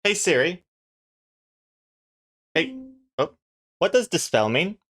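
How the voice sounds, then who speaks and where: A comic artist streams live and chats casually with viewers in a mid-pitched voice. The accent is mixed, not quite New Zealand. The talk rambles along as the artist thinks aloud. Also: big pitch swings, slow. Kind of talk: casual livestream chat with viewers.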